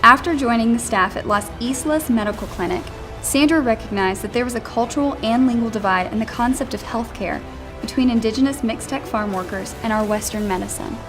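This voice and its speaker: A woman's voice: a little high, brisk. A woman reading a written nomination story aloud as scripted narration. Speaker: reader of nomination stories. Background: background music.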